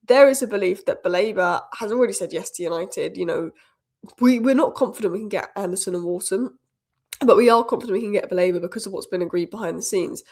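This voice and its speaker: A woman rattles through football news at a brisk clip, her voice a little croaky from a cold. She gives strong fan opinions in quick, decisive bursts, at a middle pitch. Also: a little mellow. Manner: opinionated, rapid-fire fan commentary. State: has a cold, slightly croaky. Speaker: football channel host. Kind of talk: fast solo news roundup.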